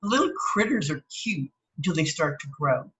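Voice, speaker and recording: A woman's voice: low-pitched, very animated, brisk, a bit bright. A woman reading an article aloud as a teacher, breaking off for plain explanatory asides and checking that listeners follow. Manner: instructive, checks understanding with tags like okay and right.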